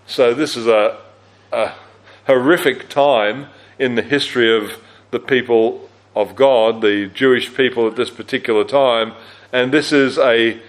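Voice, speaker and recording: Man, low register, mid pitch; conversational Bible teacher at a church camp, loose and unscripted, with fillers. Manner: conversational, with frequent fillers and self-corrections. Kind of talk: teaching a Bible study talk.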